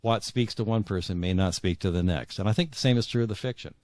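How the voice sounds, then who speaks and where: Very low-voiced middle-aged man, earnest. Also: a little mellow, no room sound. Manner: earnest, halting with repeated words. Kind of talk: conversational interview answers.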